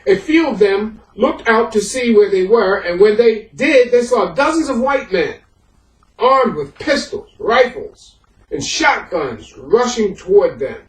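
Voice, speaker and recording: A man working as a historian, presenting and reading a history book aloud, halting in his own remarks and more emphatic as he reads. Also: high voice, big pitch swings. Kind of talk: introduction and audiobook-style reading of a history book. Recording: echoey room, far from the microphone.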